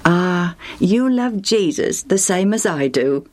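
A woman voicing a hospitable, devout man in a storybook tale, gentle and welcoming. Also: a little high, big pitch swings, unhurried, pitched above the performer's own voice. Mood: soft, devout. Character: warm, hospitable male friend, gentle and devout.

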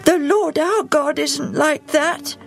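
A woman voicing an excitable young girl who squeals and shrieks in a very high voice. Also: takes it slowly, gravelly, faint music behind, exaggerated voice. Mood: horrified. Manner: squealing, shrill.

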